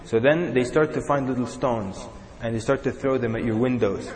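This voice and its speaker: A man's voice: mid-range voice, normal speed, a crowd in the background. A man from Sudan, preaching a sermon to a live audience and acting out little examples as he goes. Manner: animated, acts out examples in little voices. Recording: echoey hall with long reverb.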